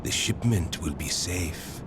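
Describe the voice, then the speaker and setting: Eerie gang enforcer, low voice, swooping intonation, calm menace, polite, video game cutscene. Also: male voice, background noise. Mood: calm, assured. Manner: unhurried, softly ominous, polite.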